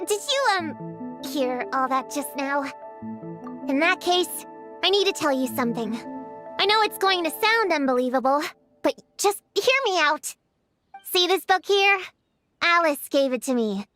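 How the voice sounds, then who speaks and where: Anime game witch kid: high-pitched, self-serious, studious, stubborn. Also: animated, a little slow, long pauses, a bit bright, soft background music. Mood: earnest. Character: bookish young witch girl, stubborn, proud, self-serious. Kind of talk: anime-style video game character acting.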